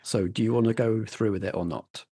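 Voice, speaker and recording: A man's voice: fairly low pitch, fairly quick, warm tone, breathy edge. Man narrating as a game master. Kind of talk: narrating a tabletop role-playing game.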